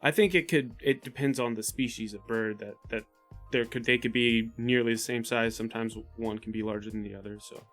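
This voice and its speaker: A man's voice: medium pitch, fairly even intonation, a little fast, a bit bright, faint music behind. A man chatting casually and matter-of-factly as a player in a tabletop game.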